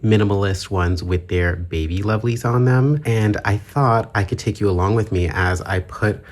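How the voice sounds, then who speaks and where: A man's voice: on the low side, moderate pace. A man chatting informally as the host of a hobby vlog, his voice affected by the tail end of a cold. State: getting over a cold. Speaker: YouTube channel host, collector.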